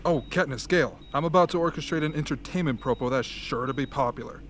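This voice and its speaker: A man's voice: on the high side, quick pace, a bit bright, a little hiss or hum. A man playing a smug, showy propaganda producer in an amateur radio drama, breezy and manipulative. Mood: breezily scheming.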